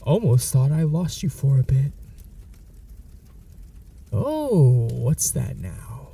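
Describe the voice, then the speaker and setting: Guy doing a dark gravelly vampire, whispery and taunting, kinda far from the mic. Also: medium pitch, big pitch swings, heavily acted. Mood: menacing. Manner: slow, mocking, threatening. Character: menacing possessive male vampire, taunting a captured hunter. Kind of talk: ASMR audio roleplay.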